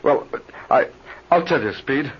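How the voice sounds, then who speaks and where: An actor playing a nervous, suspicious pilot with halting, fretful speech in an old-time radio adventure serial. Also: male voice, mid-range voice, animated, natural voice. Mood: anxious, fearful. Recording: dull, noisy old radio serial recording.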